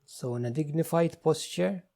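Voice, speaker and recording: A man leading a guided meditation, giving gentle, unhurried instructions with frequent pauses. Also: fairly high pitch, gravelly.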